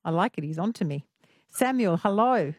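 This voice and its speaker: A woman's voice: mid-range voice, expressive, normal speed, darkish tone, no room sound. A woman with an Australian accent, chatting live as the host of a late-night radio talkback show.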